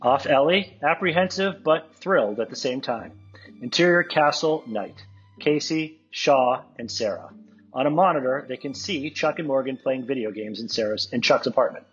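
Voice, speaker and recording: A man with a husky voice reading stage directions at a script table read. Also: medium pitch, lively intonation, moderate pace, highs cut off, soft background music.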